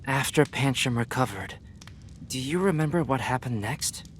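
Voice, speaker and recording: An American man playing an earnest, inquisitive teenage boy detective in a video game, talking in a measured, questioning way. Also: medium pitch, animated, slight background noise, lightly acted. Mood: insistent.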